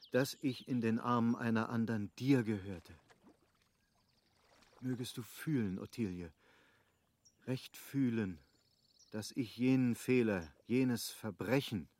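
An actor playing a passionate, tormented husband in a German radio play, speaking with solemn, declamatory fervour. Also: male voice, medium pitch, a little mellow, close to an everyday voice. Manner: fervent, solemn, declamatory literary speech. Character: passionate, tormented husband confessing forbidden love.

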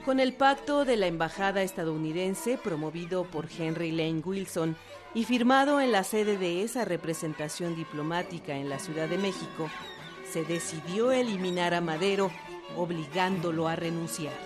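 A woman's voice: fairly low pitch, moderate pace. A woman delivering scripted historical narration for a radio drama. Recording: music bed behind narration.

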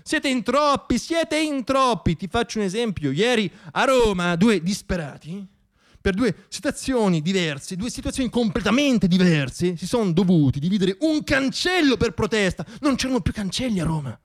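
A man's voice: high voice, animated, moderate pace, pitched above the performer's own voice. Satirical radio play: slick, patronising media operator, performed by a man, raised voice, pushy and theatrical. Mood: exasperated, dismissive. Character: cynical, slick male media man brushing off protesters. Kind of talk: satirical stage monologue.

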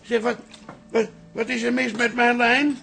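A man's voice: very high voice, little pitch movement, measured pace, gravelly, bright, forceful tone, soft background music, heavily acted. An actor playing a blunt, suspicious older father grumbling in a café radio drama. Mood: dismissive, grumbling. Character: grumpy, suspicious stout father in a neighbourhood café. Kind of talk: Dutch radio drama acting.

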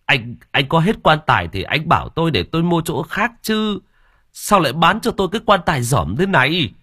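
A man playing a hot-tempered older villager, scolding hard with pitch rising and falling, husky low voice. Dry close sound. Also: sing-song, brisk, a bit bright, full character voice. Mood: angry.

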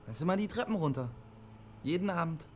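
Guy acting awkward stubborn radio loner in his 20s, highish voice, halting, defensive, radio play. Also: expressive, darkish tone, a little hiss or hum, natural voice. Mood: hesitant. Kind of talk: German radio drama acting.

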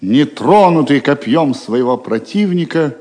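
A man's voice: a little high, lively intonation, a little slow, darkish tone. A man narrating an audio drama as dramatic scripted storytelling, with long reverb and music behind him.

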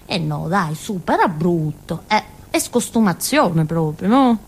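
An actress playing a naive, childlike village simpleton girl with a Calabrian accent, in a halting, repetitive theatre monologue. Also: on the low side, animated, husky, exaggerated voice. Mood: naive, plaintive. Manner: simple, repetitive, halting, with questioning tags and giggles. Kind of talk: theatrical monologue in colloquial dialect-tinged Italian.

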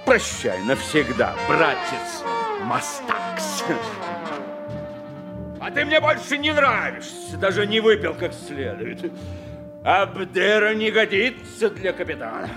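Drunken, wildly swooping sea captain. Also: male voice, high voice, sing-song, slow, music in the background, exaggerated voice. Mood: boozy bravado. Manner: slurred, theatrical drunken drawl with exclamations. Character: drunken, gruff, forgetful sea captain, boastful and muddled. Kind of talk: theatrical audio play acting with narrated monologue.

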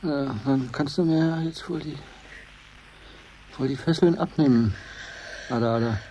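An actor playing a wry, tender captive spaceman who stays calm in despair, in a German radio drama. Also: mid-range voice, measured pace, slight background noise, natural voice, rough recording.

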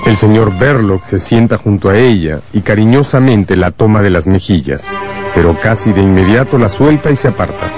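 A man with a deep voice narrates a radio drama. He tells the story in a measured, dramatic way, with faint guitar music running behind him. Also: expressive.

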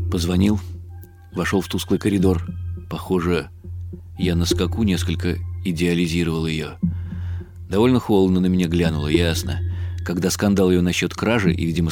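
A man's voice: deep voice, a little mellow, close to an everyday voice. An actor playing a weary, wry middle-aged writer who narrates his own story in ironic inner monologue for a radio drama. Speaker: narrator and lead character.

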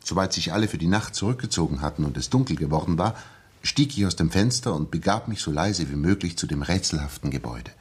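A man's voice: low-pitched, expressive, quick pace, breathy edge. An actor playing an earnest, unsettled ex-soldier recounting his story in a 1960s German radio drama, sometimes hesitant. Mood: earnest, suspenseful. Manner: earnest, hesitant, repeating words when agitated. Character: earnest, shaken young English ex-soldier telling his story.

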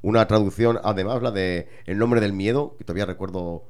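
A man's voice: lowish voice, fairly quick, a little mellow, smooth. A man co-hosting a radio show, chatting casually and emphatically, repeating words for stress. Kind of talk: casual radio chat about metal bands.

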